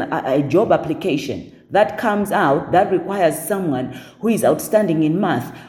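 A woman with a deep voice, talking assertively in a casual podcast conversation, repeating words for emphasis. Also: mellow, reverberant. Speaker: guest.

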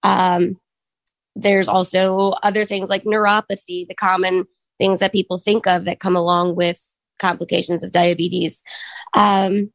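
A hoarse woman in her thirties sharing her personal story in an earnest, reflective way, pausing often with ums. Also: medium pitch, no room sound. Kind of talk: personal testimony talk.

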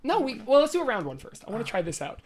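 A woman's voice: a little high, big pitch swings, very fast, a little hiss or hum. A young adult woman hosting a casual music podcast, chatty and joking, laughing often. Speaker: podcast host. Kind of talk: casual chat about music.

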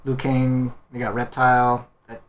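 A man's voice: medium pitch, completely flat delivery, measured pace, a little hiss or hum. A man talking casually and conversationally as a panelist in a group discussion. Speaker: podcast panelist. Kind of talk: casual chat.

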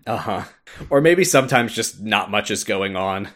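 Low voiced guy on a podcast retelling game lore, chatty, laughs a lot. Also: expressive, normal speed, fairly bright tone. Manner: laughs often, informal, mildly sweary. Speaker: podcast host. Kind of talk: casual retelling of a game story with commentary.

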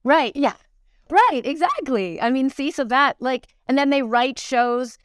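Clear-voiced woman in conversation. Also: sits high, animated, unhurried, no echo, clean studio sound. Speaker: interview guest, stage actor. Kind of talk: casual reflective interview conversation.

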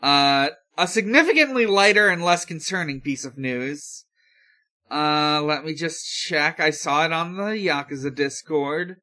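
Slow-talking, halting podcast co-host. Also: medium pitch, sharp tone. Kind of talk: casual podcast chat, relaying news.

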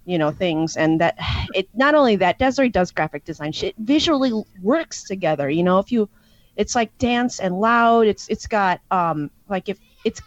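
A woman's voice: medium pitch, lively intonation, moderate pace, dry recording. A woman tells stories as a podcast guest, drifting chattily from thought to thought. Her voice comes through dull and lo-fi, with a dry sound. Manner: chatty, meandering. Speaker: musician guest. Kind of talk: casual storytelling.

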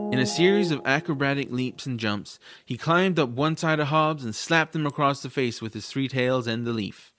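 A man's voice: mid-range voice, a bit bright, dry recording. A man reading scripted first-person narration for a family fantasy audiobook. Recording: music behind the voice. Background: music.

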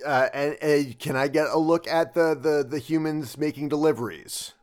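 A man joking his way through a tabletop roleplaying game. He rambles and restarts often, and his voice sits fairly high for a man. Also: steady tone, moderate pace, darkish tone. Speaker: player.

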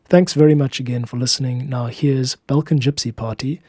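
A man narrates his podcast in a relaxed, mellow voice of medium pitch. His delivery is scripted and reflective, with deliberate phrasing. The sound is dry, with no room echo. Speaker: podcast host and composer.

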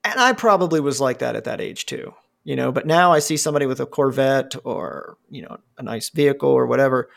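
Gravelly, profane man in his forties. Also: mid-range voice, lively intonation, brisk, few pauses, a bit bright. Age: 40s. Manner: rambling and profane, with frequent fillers and restarts. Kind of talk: casual conversation.

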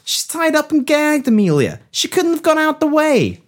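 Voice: high-pitched, wildly swooping pitch, moderate pace, pitched above the performer's own voice. A woman playing a baffled, slangy hard-boiled detective in a pulp radio play. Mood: incredulous. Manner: slangy, incredulous. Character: Rusty, baffled hard-boiled 1920s-style detective narrator.

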